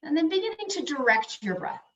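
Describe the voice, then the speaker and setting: A woman yoga instructor leading slow guided breathing and meditation in soothing, unhurried short phrases with long pauses. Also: high-pitched, sing-song, fairly bright tone, a little hiss or hum. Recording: dull, echoey room, distant mic.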